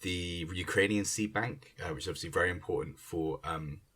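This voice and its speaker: A man speaking earnestly in an unscripted discussion as a theatre director, halting and searching for his words. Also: low voice, bright, forceful tone, breathy edge. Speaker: theatre director in a panel conversation.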